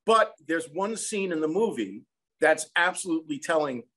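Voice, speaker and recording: A man's voice: a little high, lively intonation, moderate pace, fairly bright tone. An American man in his sixties telling anecdotes in a reflective, conversational interview style over a video call. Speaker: songwriter and film composer, interview guest. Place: small room at home, indoors.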